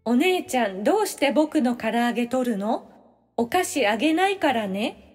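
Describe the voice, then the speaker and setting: Woman as ten-year-old boy, high-pitched, childish, pleading, excitable, family audio drama, reverberant. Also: normal speed, breathy edge, soft background music. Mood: indignant.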